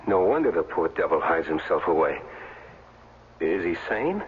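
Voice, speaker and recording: An actor playing an uneasy, plain-spoken former athlete hired as a stand-in, informal and earnest, in a 1970s radio drama. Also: male voice, low-pitched, very animated, moderate pace, husky, phone-quality sound, full character voice. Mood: wary, questioning. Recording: thin, hissy old radio broadcast.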